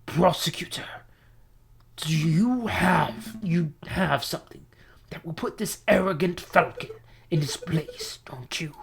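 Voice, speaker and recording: A woman voicing an irritable, domineering male judge in a courtroom game, with a breathy, harsh voice and a slow, contemptuous delivery. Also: on the low side. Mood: demanding.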